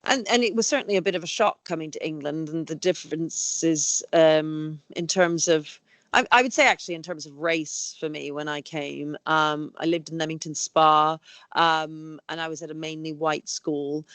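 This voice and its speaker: A woman's voice: sits low, normal speed, dry recording. Talkative woman with a dry, close sound. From Canada. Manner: animated, talkative, many fillers and restarts. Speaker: school headteacher, guest. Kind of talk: conversational interview.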